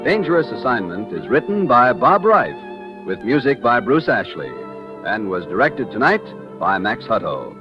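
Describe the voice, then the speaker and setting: An American male announcer from 1950s radio with a very lively delivery. The old recording is dull, and music plays prominently behind him. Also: mid-range voice, normal speed.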